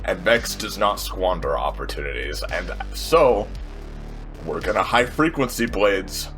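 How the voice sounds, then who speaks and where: Bright-voiced man talking through a tabletop game. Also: deep voice, animated, measured pace, soft background music. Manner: thinks aloud, repeats and corrects words, swears casually. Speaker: player in a tabletop role-playing game show. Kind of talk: casual game play talk with narration of own character.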